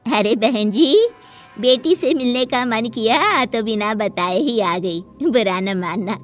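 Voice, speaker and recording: A woman's voice: on the high side, takes it slowly. A woman voicing an older visiting mother, effusive and slyly polite, in a cartoon family drama. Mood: sly politeness.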